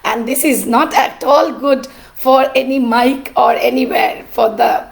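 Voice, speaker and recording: A middle-aged woman, an artist and teacher telling her story in a cracked, rough voice, pausing often with "uh". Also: on the high side, fairly even intonation. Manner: halting, with many "uh" pauses. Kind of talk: personal storytelling.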